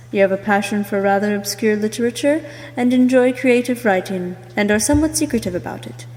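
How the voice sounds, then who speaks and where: Woman narrating, fairly high, very echoey. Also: a little mellow. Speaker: amateur voice actor recording a voice reel. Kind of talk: scripted second-person character narration. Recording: long hollow reverb.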